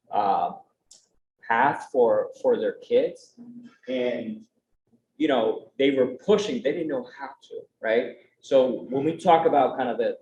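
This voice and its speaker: A man's voice: medium pitch, a little mellow. A young adult man speaking earnestly on a conference panel, with a conversational style and frequent tag questions. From United States. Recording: roomy, dull, faint background noise.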